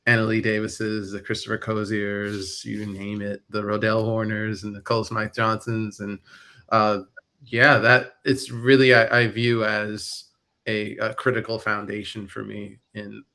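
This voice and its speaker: A man's voice: lowish voice, gravelly, sharp tone. A man who is an artist guest, speaking in a reflective, matter-of-fact way in a casual video-call conversation.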